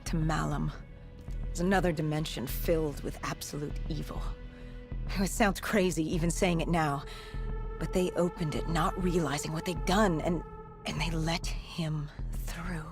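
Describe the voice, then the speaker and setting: A woman playing an intense, haunted soldier in a video game, speaking in a grave, hushed and halting way. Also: low-pitched, steady tone, over music. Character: haunted, intense female soldier recounting the apocalypse. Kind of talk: dramatic video game voice acting.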